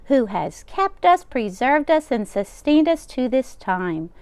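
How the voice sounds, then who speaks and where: A woman's voice: high-pitched, very animated, slow, a little mellow, clean tone, no room sound, pitched above the performer's own voice, exaggerated voice. A woman voicing a kindly, fussing grandmother of ninety-seven who is hard of hearing, in a read-aloud storybook. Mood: chatty. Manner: cheerful, fussing hostess.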